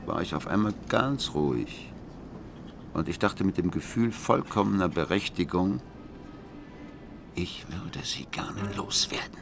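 Embittered betrayed husband, very low gravelly voice, intimate radio monologue over music. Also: male voice, full character voice. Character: embittered betrayed husband, confiding his marriage story.

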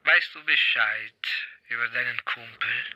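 A man's voice: medium pitch, unhurried, bright, forceful tone, no room sound, poor audio. An actor playing a menacing male mafia thug in a radio drama, taunting and vulgar. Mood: mocking menace.

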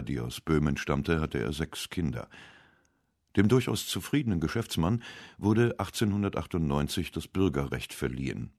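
A man reading scripted narration for a radio essay in a measured, literary way. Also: a bass voice, no echo, clean studio sound.

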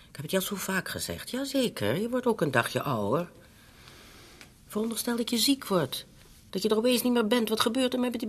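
A woman's voice: very deep voice, husky, heavily acted. A woman playing a fretful, meddling older mother in a Dutch radio drama, hesitant and nagging as she coaxes. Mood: anxious, nagging. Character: fretful, meddling elderly bourgeois mother, scheming and shame-conscious.

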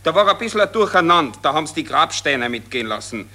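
Very bright, piercing Austrian officer, higher-voiced, jovial and blasé, colloquial, old radio play. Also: male voice, sing-song, quick pace, exaggerated voice. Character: fawning, jovial Austrian army lieutenant, agreeable crony. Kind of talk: 1940s German radio drama acting.

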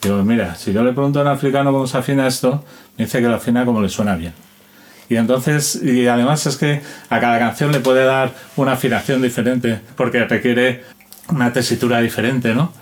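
A man talking informally, explaining and telling anecdotes like an interviewed musician. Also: mid-range voice. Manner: informal, demonstrates rhythms with spoken syllables. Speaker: interviewed musician and instrument collector. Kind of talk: casual explanatory talk with anecdotes.

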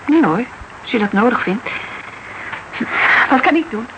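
Dull, faintly noisy radio play recording of an earnest young woman. She speaks in a fairly high voice, eager and confiding, as a client offering her help. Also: expressive, unhurried. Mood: eager, questioning. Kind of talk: Dutch radio drama acting.

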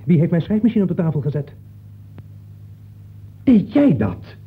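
Geërgerde, opgejaagde schrijver, grillige intonatie. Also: male voice, a little high, sing-song, normal speed, breathy edge, exaggerated voice. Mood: angry, exasperated. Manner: exasperated, stammering, pleading. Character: flustered, irritable married male novelist, haunted by characters. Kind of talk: radio play acting. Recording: tinny, noisy old radio recording.

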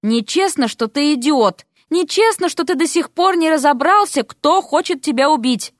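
A woman's voice: high voice, animated, normal speed, dry recording, clean studio sound, pitched above the performer's own voice. A woman voicing a bossy young female frog in an audio story, scolding in a blunt, sarcastic way. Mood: scolding, exasperated.

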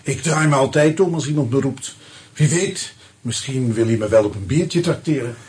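A man's voice: mid-range voice, moderate pace, darkish tone, lightly acted. An actor playing a sardonic male suspect in a radio play, flippant and a little indignant in manner.

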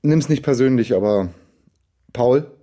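An actor playing a naive-seeming, quietly sly amateur sleuth in a German radio crime drama, talking in a halting, colloquial way. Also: male voice, medium pitch, lightly acted. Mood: casual. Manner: colloquial, halting, mock-casual.

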